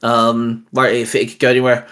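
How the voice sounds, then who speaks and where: A man's voice: mid-range voice, monotone, moderate pace, fairly bright tone, smooth. A young man co-hosting a casual geeky chat, rambling with lots of fillers and restarts. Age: young adult. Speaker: channel co-host.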